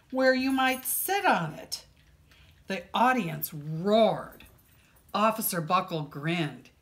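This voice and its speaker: A woman reading a picture book aloud to children as a librarian, with expressive storytelling and deliberate, dramatic emphasis on key words. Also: mid-range voice.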